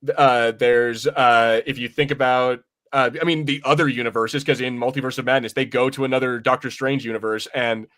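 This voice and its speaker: A man co-hosting a casual film review podcast, rambling like an excited fan and swearing freely. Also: mid-range voice, a little fast, few pauses. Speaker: podcast co-host.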